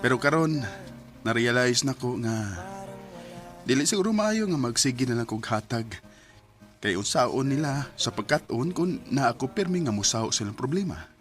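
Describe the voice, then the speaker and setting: A man's voice: mid-range voice, lively intonation, moderate pace, faint music behind. A man with a Cebuano accent acting in a Bisaya radio drama, playing the family's giver and narrating his story with emotional, pleading outbursts. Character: young man, family's giver, hurt and resentful.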